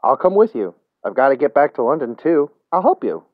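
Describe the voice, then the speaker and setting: A man's voice: on the low side, big pitch swings, unhurried, no echo, narrow, tinny audio. A man voicing a naive young city man in a story, earnest, easily upset and stammering in protest. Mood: eager, pleading.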